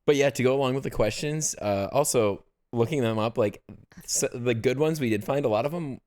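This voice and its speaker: A man from the American Midwest co-hosting a casual podcast chat, relaxed and rambling with many fillers. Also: mid-range voice, brisk. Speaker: podcast co-host.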